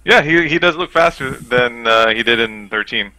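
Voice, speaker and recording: A man's voice: medium pitch, animated, moderate pace, sharp tone, no room sound, rough recording. A man giving casual game analysis as a guest on a podcast.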